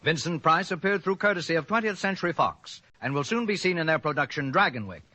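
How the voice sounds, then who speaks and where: A man making a brisk, formal closing announcement on a 1940s radio show. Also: on the high side, lively intonation, sharp tone. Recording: old radio broadcast recording, faint music.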